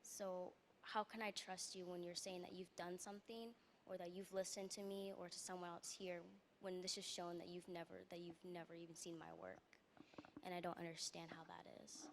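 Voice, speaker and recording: A young woman in her teens sharing personal experience informally as a student panelist. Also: fairly low pitch. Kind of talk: panel discussion, personal storytelling. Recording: noisy room, faint background noise.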